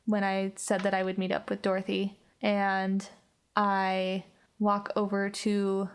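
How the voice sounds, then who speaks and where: A woman's voice: mid-range voice, monotone, slow pace, smooth. Casual woman improvising a tabletop roleplay. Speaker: player on an actual play podcast.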